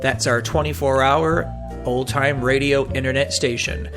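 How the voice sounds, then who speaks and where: A man's voice: mid-range voice, steady tone, a little slow. A man hosting a radio program, giving a presenter's sign-off narration. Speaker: radio show host. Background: music.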